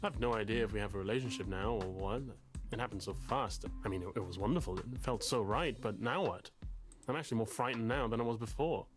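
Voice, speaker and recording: An actor playing an anxious, bookish, self-pitying man in a game, talking in a wordy, halting, confessional way. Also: lowish voice, music in the background, close to an everyday voice. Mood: fretful, flustered. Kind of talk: confessional dramatic game dialogue.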